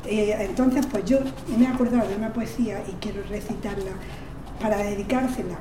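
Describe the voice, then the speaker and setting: A woman from Spain reciting poems at a community reading, hesitant in her introductions and slow and declaiming in the verses. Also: mid-range voice, fairly even intonation, roomy sound, distant mic, noisy background. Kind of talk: poetry recitation with spoken introductions.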